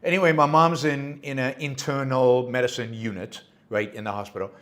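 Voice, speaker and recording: A man's voice: mid-range voice, steady tone, brisk, a bit bright. A middle-aged man hosting his own show, giving unscripted solo commentary in an emphatic way, repeating words for stress with many fillers and restarts. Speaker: podcast host.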